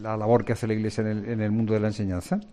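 A man's voice: on the low side, flat delivery, rapid-fire, dark tone. A man interviewing people on location as a reporter, chatty and probing.

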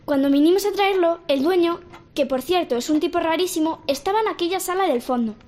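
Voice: extremely high pitch, a little fast, a little mellow, a little hiss or hum. A performer playing an earnest, bold girl of about ten in a radio drama. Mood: explaining.

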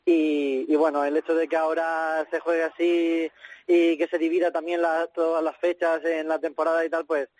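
A man from discussing sport casually as a panelist on a phone call, in halting phrases. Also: fairly high pitch, flat delivery, a little mellow. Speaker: radio panelist. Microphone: telephone call.

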